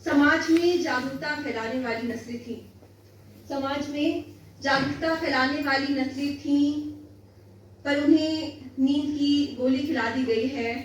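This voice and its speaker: A woman delivers poetry in a high voice, taking her time over each line. The manner is solemn and emphatic, with phrases said again for weight. The sound is very roomy, with faint noise behind. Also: steady tone, unhurried. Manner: solemn, emphatic repetition of lines. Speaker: poet reciting own poems. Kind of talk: Hindi poetry recitation before listeners. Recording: roomy echo, distant mic, faint noise.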